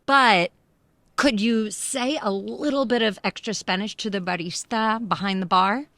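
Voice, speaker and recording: A woman giving animated, emphatic, encouraging advice in conversation, often acting out little bits of quoted dialogue. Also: mid-range voice, a little slow, dry recording. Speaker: podcast guest, expat living in Spain.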